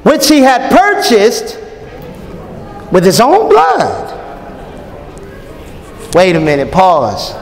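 A man's voice: sits high, extremely slow, pauses often. A man in his thirties preaching at a conference in a large ballroom, joking and calling out to the crowd for answers. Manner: animated, joking, call-and-response with the crowd. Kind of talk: lively conference sermon. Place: large hotel ballroom with a live audience. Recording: long hall reverb, faint crowd.

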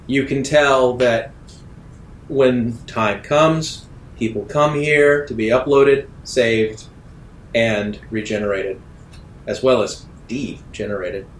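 A man working as game master, narrating a tabletop role-playing game deliberately, with dramatic pauses. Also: mid-range voice, reverberant, a little hiss or hum, low-quality recording.

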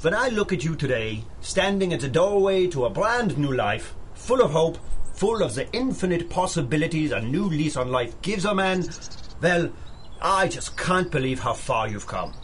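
Proud encouraging doctor, radio drama, formal, lively intonation, faint background noise. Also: male voice, a little high, normal speed. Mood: proud, effusive. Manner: grandiloquent, formal. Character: proud, encouraging male asylum doctor, earnest. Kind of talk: 1930s radio drama acting.

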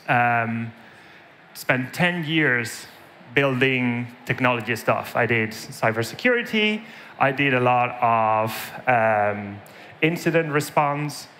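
A man from Spain with a Spanish accent shaded by Irish English, in his thirties, giving a conference keynote in a lively storytelling manner and often adding "right?" to his points. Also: medium pitch, a little hiss or hum. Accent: Spanish with Irish influence. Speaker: conference speaker. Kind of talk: keynote talk with anecdotes. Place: large dark conference stage.

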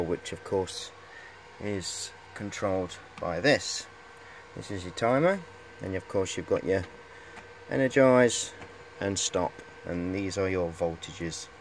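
Middle-aged male, slightly low voice, informal hobbyist demo with halting, wandering delivery, light workshop noise underneath. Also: rough recording. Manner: rambling, hesitant, repeats "of course". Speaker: hobbyist presenter. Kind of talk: casual show-and-tell vlog. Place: cluttered indoor workshop.

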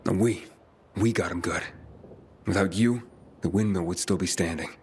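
An actor playing an earnest, reassuring younger survivor hero in a video game. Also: male voice, low voice, normal speed, darkish tone, natural voice. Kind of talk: video game dialogue acting. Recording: heavy background noise.

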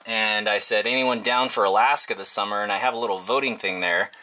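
A man in his thirties vlogging casually, informal and halting as he thinks aloud to his viewers. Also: lowish voice, fairly even intonation, bright, forceful tone, rough recording. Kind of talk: casual, rambling vlog chat to viewers. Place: inside a parked converted school bus.

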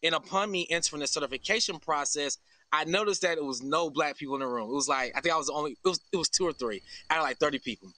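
A man's voice: a little high, pushed and bright, dry recording. An American man in his thirties giving an earnest, informal explanation in a live interview over a video call. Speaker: farm founder and interview guest. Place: outdoors under an open farm shelter.

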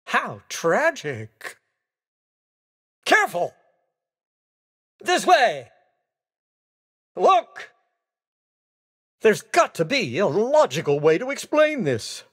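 A man voicing a theatrical, excitable skeleton gentleman in a racing video game, with courteous, exclamatory delivery. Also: high-pitched, very slow, pauses often, slightly rough, full character voice. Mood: dismayed, then startled, emphatic. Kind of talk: video game character voice clips.

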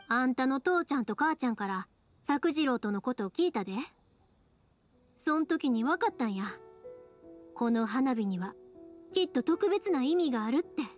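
A woman playing a frank, stubborn young fireworks maker with a Kansai accent in a Japanese anime game. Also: high voice. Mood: earnest.

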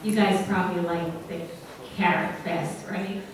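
A young woman telling a story to children at church in a slow, gentle way, asking them questions as she goes. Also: lowish voice, level tone, very noisy. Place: church sanctuary, at the front. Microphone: far room camera. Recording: very echoey church from far off.

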